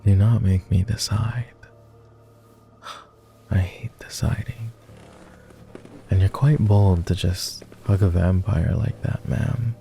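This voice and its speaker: A very dark, mellow vampire lord played by a man, deep and heavy with gravelly fry. He speaks slowly and formally, tender and melancholy, as a clingy lover in an intimate roleplay ASMR. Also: steady tone, soft background music, exaggerated voice. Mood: anguished, then fond, teasing. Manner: formal, old-fashioned wording, hesitant, tender.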